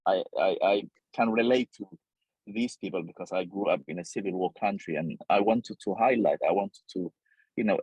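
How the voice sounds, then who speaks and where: Male journalist, Somali accent, low husky voice, earnest interview delivery. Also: darkish tone, no echo, rough recording. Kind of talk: reflective interview account.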